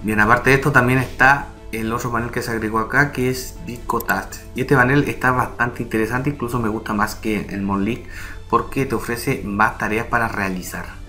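A man's voice: medium pitch, steady tone, normal speed, bright, forceful tone, faint music behind. A man giving a chatty, step-by-step voice-over for a screen-recorded tutorial, often checking in with the viewer as he explains. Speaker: online earnings tutorial creator.

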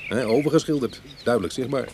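An actor playing a proud, wry retired burglar in middle age who is easily goaded, in a Dutch radio play. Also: male voice, mid-range voice, fast, a little mellow, a little hiss or hum, close to an everyday voice. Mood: amused, evasive. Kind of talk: Dutch radio drama acting.

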